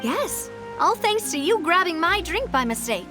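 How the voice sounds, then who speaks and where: A woman's voice: very high voice, big pitch swings, normal speed, over music. A woman playing a proud, determined young noblewoman in a video game, with pointed, polished, theatrical phrasing. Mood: explaining.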